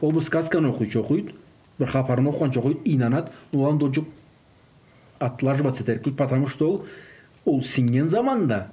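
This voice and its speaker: A man's voice: mid-range voice, brisk, mellow. A man who is a native Karachay-Balkar speaker talks in an informal discussion, mixing in Russian words and phrases. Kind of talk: informal religious discussion on raising children.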